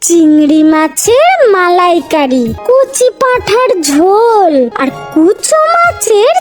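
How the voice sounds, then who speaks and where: A woman voicing a fussy, doting middle-aged mother who chats warmly about food and welcomes guests, over background music. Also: very high voice, big pitch swings, exaggerated voice. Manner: doting, chatty, lists dishes slowly.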